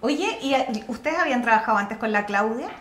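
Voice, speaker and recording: A woman's voice: a little high, lively intonation, brisk, a crowd in the background. A woman with a Chilean accent hosting a casual chat, informal and playful as she asks questions. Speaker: podcast host. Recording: echoey room, clear background noise.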